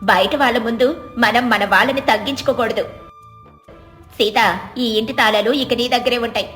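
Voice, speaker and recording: Lady doing a proud storybook mother-in-law, middle aged, kinda high voice. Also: fairly even intonation, moderate pace, fairly bright tone, soft background music, close to an everyday voice. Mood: conciliatory.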